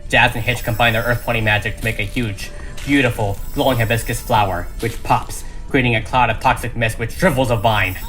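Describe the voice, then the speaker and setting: Bright, forceful male narrator over music. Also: medium pitch, fairly even intonation, brisk. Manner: emphatic, suspenseful delivery. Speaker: script reader and narrator. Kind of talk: dramatic scripted narration. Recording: music behind the voice.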